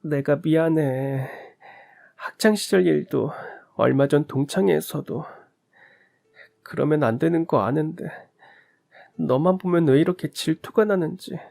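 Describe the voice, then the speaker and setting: A man's voice: fairly high pitch, unhurried, gravelly, darkish tone, voice pushed up. A man voicing a remorseful middle-aged woman, a former school bully, who gives a tearful, choked apology.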